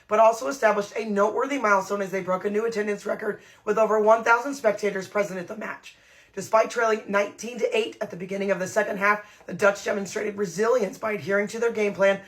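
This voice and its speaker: Hoarse woman reading rugby news. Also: mid-range voice. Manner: brisk newsreader delivery. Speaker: news podcast host. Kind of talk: scripted news rundown.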